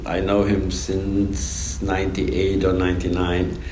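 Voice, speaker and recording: Slow-talking low-voiced man interviewing in echoey room. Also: monotone. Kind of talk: casual interview. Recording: echoey room with background noise.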